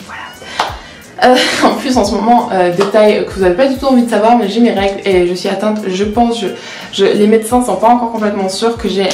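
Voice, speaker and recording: Woman, 19, native Portuguese speaker, casual vlog chat, self-deprecating, hesitant, medium pitch, echoey room. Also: a little mellow. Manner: candid and self-mocking, with frequent hesitations. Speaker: vlogger and law student. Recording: roomy echo.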